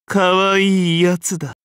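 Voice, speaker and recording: A grandiose Roman ruler from a video game. He speaks very slowly and pompously, with an amused, condescending air and deliberate phrasing. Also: sits high, fairly bright tone, clean tone, no room sound, studio quality, heavily acted. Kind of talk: scripted game character dialogue.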